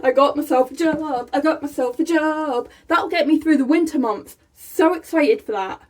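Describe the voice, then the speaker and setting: A young woman from England, chatting casually to her camera in a small room, excitable and laughing often. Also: high voice, moderate pace. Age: young adult. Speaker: vlogger. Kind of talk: casual vlog chat. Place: small room by a rainy window, indoors.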